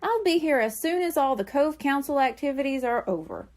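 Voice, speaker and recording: A woman voicing a brisk, busy mother cat in a children's storybook. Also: high-pitched, lively intonation, voice pushed up.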